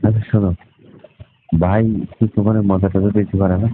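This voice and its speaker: A man's voice: on the low side, level tone, fairly quick. A man chatting casually and flirtatiously on the phone in a coaxing, suggestive manner. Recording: tinny phone line, faint noise.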